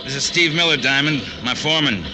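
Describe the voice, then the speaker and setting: An actor playing a gruff, domineering big factory boss, barking orders bluntly in an old radio drama. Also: male voice, a little high, a little fast, lightly acted. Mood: gruff, commanding. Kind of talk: 1940s radio drama acting. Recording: noisy old radio recording.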